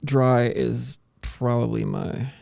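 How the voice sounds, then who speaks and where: A man's voice: mid-range voice, fairly even intonation, takes it slowly, creaky, darkish tone, no room sound, studio quality. A man hosting a casual podcast, chatting and bantering in slangy speech with plenty of "dude". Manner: slangy, says "dude" often. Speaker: podcast host. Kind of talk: casual banter about music with co-hosts.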